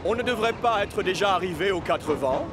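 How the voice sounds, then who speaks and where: A man's voice: sits high, expressive, brisk, background noise. A man voicing a self-important, displeased government minister in a children's cartoon, formal and disapproving. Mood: impatient. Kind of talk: animated children's series acting.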